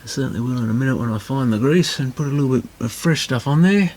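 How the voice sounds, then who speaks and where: A man's voice: mid-range voice, quick pace, darkish tone, slight background noise. A man giving casual hands-on repair commentary, muttering to himself as he works. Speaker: repair video presenter.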